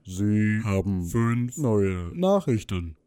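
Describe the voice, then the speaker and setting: A man voicing an impassive automated voicemail system, measured and impersonal, in an audio drama. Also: lowish voice, very animated, warm tone, clean tone. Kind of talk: automated phone announcement.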